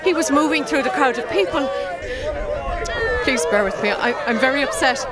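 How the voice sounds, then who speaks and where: Overwrought high-voiced Irish news reporter. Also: female voice, lively intonation, moderate pace, very noisy. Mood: distraught. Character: overwrought, melodramatic female local news reporter. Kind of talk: parody live news report. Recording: loud music and outdoor crowd behind.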